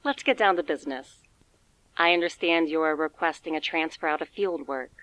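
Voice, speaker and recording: A woman playing a formal interviewer at a secret agency in an audio drama, clinical and measured in manner. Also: sits low, a bit bright, rough recording. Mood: composed, formal.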